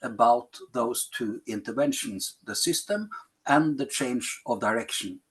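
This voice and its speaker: A middle-aged man with a Norwegian accent, speaking English as a second language in a podcast interview, pausing often to find words. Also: medium pitch. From Norway. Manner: searches for words, frequent pauses and fillers. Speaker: health policy advisor.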